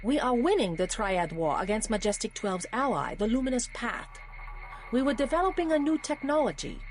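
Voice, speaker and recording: Sly game villainess, animated intonation, poised, measured, persuasive, faint music behind. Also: female voice, on the high side. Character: sly, poised female Hong Kong politician, secretive schemer. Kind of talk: video game voice acting.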